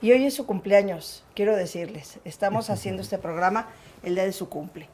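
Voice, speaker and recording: A woman's voice: medium pitch, fairly quick, dark tone, a little hiss or hum. A woman with a Mexican accent hosting a personal interview in an effusive, affectionate manner. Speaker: interview show host.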